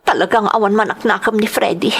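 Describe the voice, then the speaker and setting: A woman's voice: mid-range voice, steady tone, a little fast, a bit bright, breathy edge. A woman acting an emotional, wronged wife and mother in a radio drama, with an Ilocano accent. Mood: bitter, aggrieved. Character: distressed wife and mother, wronged by her husband. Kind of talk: radio drama acting and first-person narration.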